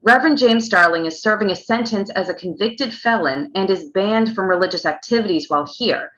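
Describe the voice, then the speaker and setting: A woman's voice: medium pitch, brisk, fairly bright tone, lightly acted. A woman playing a skeptical news reporter in a screenplay table read, asking pointed questions. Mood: skeptical, probing. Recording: dull lo-fi online audio.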